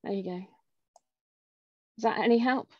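A woman's voice: a little high, moderate pace, no room sound. A woman chatting casually as an audience member on a video call. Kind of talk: casual chat. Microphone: video call microphone. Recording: muffled lo-fi video call audio.

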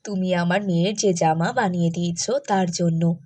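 A woman's voice: lowish voice, steady tone, normal speed, dark tone, slightly rough. A woman voicing a friendly, chatty neighbour woman in a moral cartoon, speaking in a familiar way. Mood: friendly, matter-of-fact. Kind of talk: Bengali moral cartoon voice acting.

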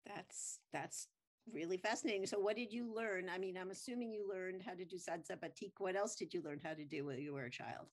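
A woman's voice: fairly low pitch, brisk. A woman hosting an interview over a video call, asking friendly, rambling questions with many fillers. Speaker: interviewer host. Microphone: webcam on a video call.

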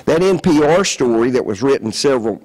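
A man's voice: medium pitch, expressive, a little fast, darkish tone, low-quality recording. Folksy older Southern man at a podium. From South Carolina. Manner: folksy, chatty, frequent restarts and fillers. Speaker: county councilman giving a conference talk. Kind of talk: conference presentation. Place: large conference hall stage. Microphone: podium microphone.

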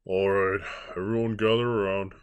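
A man's voice: deep voice, flat delivery, normal speed, clear, resonant tone, lo-fi sound, lightly acted. A man voicing a blunt, dismissive audition judge in a cartoon, speaking brusquely. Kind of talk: animated cartoon voice acting.

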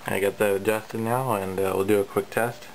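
A man casually talking through a hands-on radio demo in a plain, low-key way, recorded indoors on a handheld camera. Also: steady tone, a little fast, low-quality recording. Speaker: radio hobbyist presenting a demo. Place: indoors at a home radio setup. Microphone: handheld camera filming the equipment. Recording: clear background noise, radio static.

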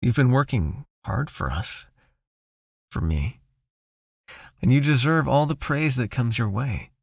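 A man playing a gravelly, seductive vampire master. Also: mid-range voice, expressive, no room sound, clean studio sound, full character voice. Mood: smooth, coaxing. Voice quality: breathy, gravelly, murmuring. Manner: slow, coaxing, intimate, softly commanding. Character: seductive, possessive male vampire master, hypnotic and condescendingly tender. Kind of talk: ASMR hypnosis roleplay.

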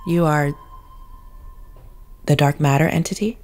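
A woman playing a formal, controlled, android-like woman in an audio drama, speaking in a stilted, measured manner. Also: sits low, darkish tone, faint music behind. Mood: suspicious, formal.

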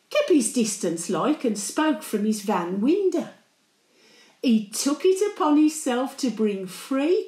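An older woman playing a chatty, cheeky, warm-hearted working-class Birmingham great-grandmother, gossiping and rambling through a monologue. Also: high-pitched, echoey room, full character voice. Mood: pleased, chatty. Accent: Birmingham (Brummie). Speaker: actor performing a dramatic monologue.

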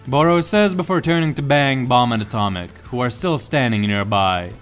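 A man's voice: medium pitch, moderate pace, clear, resonant tone, soft background music. A man reading scripted, dramatic story narration as the narrator.

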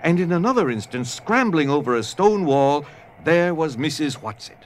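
A man's voice: a little high, very animated, normal speed, slight background noise. A man reading scripted narration for a dramatized story. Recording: music under the voice.

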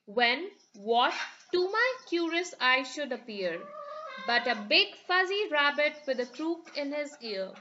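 A woman's voice: high voice, sing-song, unhurried, sharp tone, muffled recording, slight background noise. A woman reading a rhyming picture book aloud for children in a sing-song storytelling manner.

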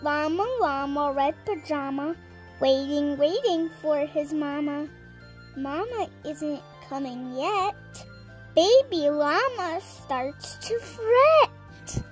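A seven-year-old girl reading a children's story aloud in a deliberate, sing-song, emphatic way. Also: sits high, animated, soft background music. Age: child, seven years old. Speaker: storyteller reading a picture book.

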